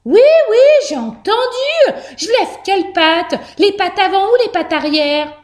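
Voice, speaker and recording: A woman puts on a little storybook mouse girl with a very high, swooping voice. She is cheerful and chatty, eager to help and quick to exclaim. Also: expressive, roomy sound. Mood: startled. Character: cheerful, chatty young female mouse, excitable and helpful.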